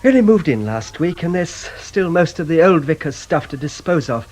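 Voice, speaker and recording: An actor playing a mild, apologetic vicar in a radio drama, stammering politely in a husky voice. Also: male voice, fairly high pitch, sing-song, brisk, a little mellow. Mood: flustered, apologetic. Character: flustered, apologetic, mild-mannered male locum vicar.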